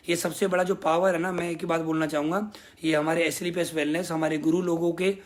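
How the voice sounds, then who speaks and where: Young man, high voice, sales team leader, emphatic motivational speech, repeats phrases. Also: a bit bright. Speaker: network marketing team leader.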